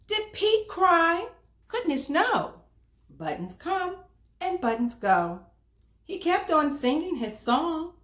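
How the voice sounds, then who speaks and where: A woman reads a children's storybook aloud as host, in an expressive, emphatic manner that speaks directly to young listeners. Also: extremely high pitch, slow. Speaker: children's book reader and host.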